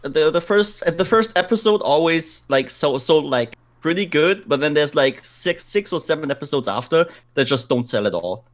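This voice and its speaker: A man co-hosting a podcast, chatting casually in a halting, loosely phrased way. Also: a little high, animated. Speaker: podcast co-host. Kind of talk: casual chat.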